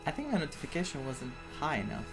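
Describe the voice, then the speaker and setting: A streamer of middling pitch comments live on a game, thinking aloud as they go. The delivery is emotionally invested and conversational, with game music playing underneath. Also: sing-song, fast talker, vocal fry. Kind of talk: live gameplay reaction commentary. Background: video game music.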